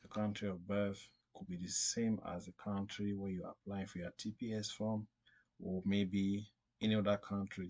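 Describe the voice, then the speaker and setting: A man narrating an unscripted step-by-step screen tutorial in a halting, repetitive way. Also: sits low, darkish tone, slightly rough. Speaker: tutorial presenter.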